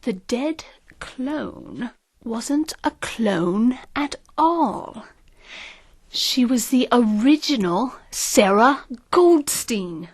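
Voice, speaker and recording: An actress playing a scheming, confident, scornful marketing consultant in an audio drama, emphatic and condescending. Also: female voice, a little high, animated, extremely slow, husky, dry recording, full character voice. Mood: triumphant.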